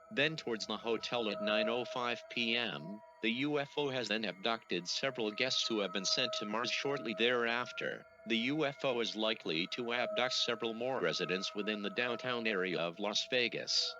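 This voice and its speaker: A synthetic male text-to-speech voice reading a scripted emergency alert in a formal, mechanical way. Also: mid-range voice. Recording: lo-fi audio with music behind.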